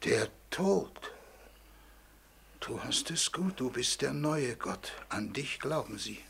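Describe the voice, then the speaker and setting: An actor playing a helpless, forgotten old God, plaintive and lamenting, in a 1940s German radio play. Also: male voice, mid-range voice, lively intonation, slow pace, creaky, fairly bright tone, breathy edge, full character voice. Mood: reproachful, envious. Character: weeping, helpless old God nobody believes in.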